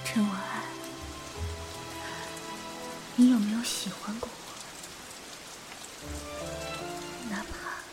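A woman playing a quietly heartbroken, guarded teenage schoolgirl in a modern audio drama, soft-spoken and reflective. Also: mid-range voice, fairly even intonation, a little fast, big-room sound, natural voice. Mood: regretful, sad. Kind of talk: modern Chinese audio drama acting with inner monologue. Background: music.

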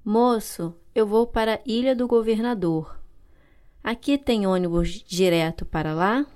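A woman with a Brazilian Portuguese accent reading vocabulary and dialogue lines for a language course, clear and carefully articulated. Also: mid-range voice, a little slow, a little mellow. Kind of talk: slow scripted language-lesson reading.

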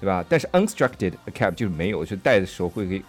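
Lively, chatty man spelling out words. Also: low voice, fast talker, soft background music. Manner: checks in with the partner often, spells words letter by letter. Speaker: podcast co-host teaching English. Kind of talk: casual bilingual chat, explaining English words. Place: studio in Sydney.

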